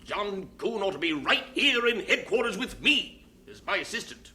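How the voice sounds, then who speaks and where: Audio drama: fussy, worried mission chief, sharp forceful voice, animated pitch swings, blunt and formal. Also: male voice, moderate pace, heavily acted. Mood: worried, exasperated. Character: fretful, doubting male missionary superintendent.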